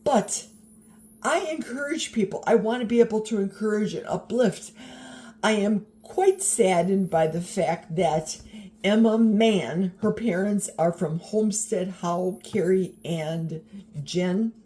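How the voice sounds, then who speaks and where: A woman's voice: mid-range voice, takes it slowly, dark tone. An older woman chatting casually to her camera while cooking at home, encouraging and a little wry. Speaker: vlogger. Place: home kitchen. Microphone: handheld camera.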